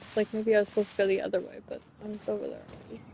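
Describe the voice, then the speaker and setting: A woman's voice: medium pitch, flat delivery, racing, gravelly, dark tone, slight background noise. A woman game streamer giving casual live commentary, musing aloud to herself as she plays. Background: faint game music.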